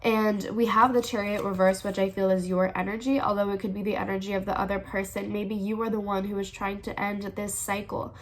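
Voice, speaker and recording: A woman's voice: medium pitch, little pitch movement, a little fast, few pauses. A woman with a stuffy, congested voice, chatting casually as she gives a tarot reading to her channel's viewers and thinks aloud as she goes. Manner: chatty, thinks aloud, repeats "all right". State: congested, sniffling. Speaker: tarot reader, channel host.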